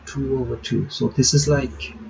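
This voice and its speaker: A man's voice: medium pitch, fairly even intonation, moderate pace, creaky, darkish tone, slight background noise. A sleepy man hosting a reaction video, rambling casually and reading game text aloud. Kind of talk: casual commentary while reading a visual novel aloud. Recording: dull lo-fi recording with game music.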